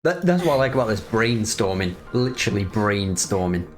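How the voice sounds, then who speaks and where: A man's voice: lowish voice, very animated, moderate pace, faint music behind. A man giving casual reaction commentary as a streamer, musing aloud and often trailing off mid-thought. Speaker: streamer reacting to a fan-dubbed game movie.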